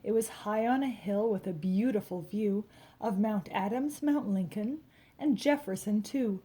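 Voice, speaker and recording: A woman reading a rhyming children's picture book aloud, expressively, with dramatic emphasis and drawn-out key words. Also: mid-range voice, mellow, breathy edge. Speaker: storybook reader.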